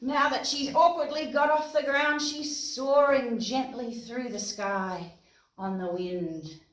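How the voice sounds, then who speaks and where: Slow, echoey Australian woman reading to children. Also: sits high. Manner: expressive, asks listeners questions and explains words simply. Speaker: children's storytime presenter. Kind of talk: animated read-aloud storytelling for young children, with songs. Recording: roomy echo, distant mic, guitar music.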